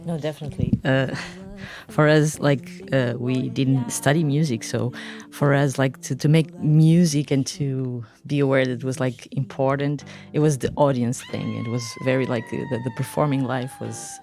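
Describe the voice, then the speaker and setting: A woman's voice: very deep voice, fairly even intonation, moderate pace, a little mellow, faint music behind. A woman musician whose first language is Portuguese, answering interview questions in a casual, loose way. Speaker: musician guest.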